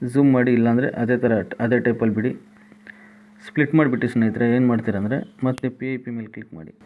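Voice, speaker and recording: A man's voice: mid-range voice, fairly even intonation, brisk. A man giving a step-by-step app tutorial as a voice-over, repeating each instruction as he goes. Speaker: tutorial host. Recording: tinny phone-like band, faint noise.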